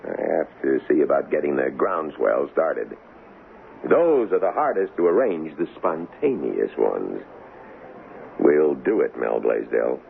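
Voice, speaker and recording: An actor in a radio drama playing a shrewd, folksy, cynical political kingmaker with a glib, pushy patter and a gravelly voice. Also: male voice, very deep voice, animated, unhurried, full character voice. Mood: breezy, wry. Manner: glib, wheedling salesman's patter, wry. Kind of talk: old-time radio drama acting. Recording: muffled, noisy old radio broadcast.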